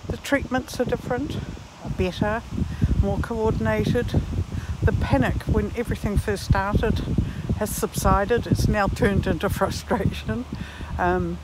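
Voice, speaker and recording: Slow-talking woman in her sixties, musing outdoors. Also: mid-range voice, steady tone, heavy background noise. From Netherlands and New Zealand. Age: 60s. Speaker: vlogger. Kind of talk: reflective walking vlog monologue. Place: outdoors, walking in a park.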